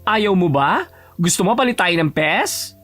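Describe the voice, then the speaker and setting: A man's voice: sits high, big pitch swings, measured pace, a bit bright, soft background music, full character voice. A man playing a flamboyant, sassy stylist friend who encourages theatrically in an audio drama. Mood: coaxing, admiring. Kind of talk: Tagalog audio drama acting.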